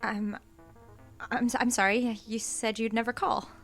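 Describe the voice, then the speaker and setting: An actress playing a snippy, defiant but easily rattled small-town wife, blunt and sarcastic, in an audio drama. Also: female voice, a little high, level tone, a little slow. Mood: nervous. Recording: loud music behind.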